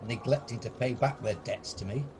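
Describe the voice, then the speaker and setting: A man's voice: medium pitch, normal speed, lightly acted. A man playing a stubborn, grumpy, miserly older man in an amateur radio play, blustering and scornful. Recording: noisy home video-call recording.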